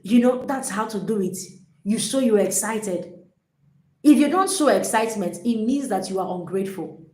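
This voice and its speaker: A woman giving an energetic, emphatic motivational talk as a relationship coach, often repeating her points. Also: mid-range voice, normal speed. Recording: echoey room.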